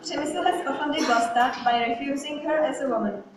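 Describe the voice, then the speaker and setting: A woman's voice: high voice, normal speed. A woman narrating an amateur puppet show from a script. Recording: echoey room, distant mic, crowd noise.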